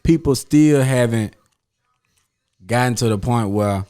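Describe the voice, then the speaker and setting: A man's voice: medium pitch, takes it slowly, warm tone, clear, resonant tone. A man, rambling loosely through a casual chat with friends as the host. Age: 30s. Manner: rambling, loose, uses slang and fillers. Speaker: podcast host.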